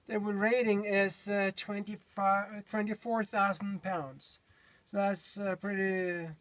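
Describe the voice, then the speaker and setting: A Norwegian man with a Norwegian accent, showing a hitch step by step in a halting way, full of fillers, recorded outdoors on a camera mic. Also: high-pitched, no room sound. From Norway. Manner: halting, searching for words, many fillers. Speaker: DIY vlogger. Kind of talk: hands-on how-to demonstration. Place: outdoors by a pickup truck bed.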